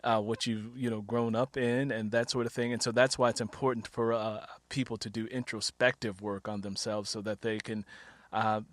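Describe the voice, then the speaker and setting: A man's voice: fairly low pitch, flat delivery, normal speed, no echo. A middle-aged man hosting a casual interview, chatty and rambling, often repeating his phrases as he goes. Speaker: interviewer, podcast host.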